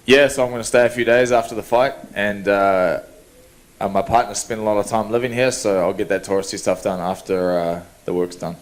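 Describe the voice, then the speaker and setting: Chill kiwi guy, kinda low voice, easygoing answers to reporters, chuckles now and then. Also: fairly even intonation, brisk. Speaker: fighter at media day. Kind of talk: press scrum answers.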